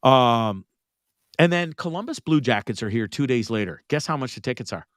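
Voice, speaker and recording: Male podcast host, Canadian, sweary and casual, clear tone despite a congested sore throat, recorded dry. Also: mid-range voice, animated, normal speed, no echo. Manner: slangy, joking, blunt. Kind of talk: casual chat, swearing freely.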